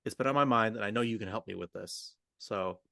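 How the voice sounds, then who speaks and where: A man's voice: lowish voice, fairly quick, vocal fry, sharp tone, dry recording, studio quality. A man co-hosting a coaching discussion, talking informally and thinking aloud as he goes. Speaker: podcast co-host and communication coach. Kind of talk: conversational coaching discussion with roleplay.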